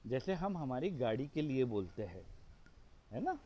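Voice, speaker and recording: Slow, hoarse man giving spiritual discourse. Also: medium pitch, big pitch swings, dull audio. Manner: poses rhetorical questions and answers them himself. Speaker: spiritual teacher.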